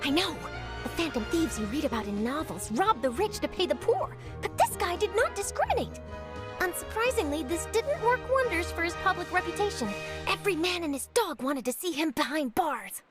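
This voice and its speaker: A woman playing an eager young journalist chasing a scoop, brisk, upbeat and theatrical. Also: very high-pitched, very animated. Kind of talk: video game voice acting. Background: game score music.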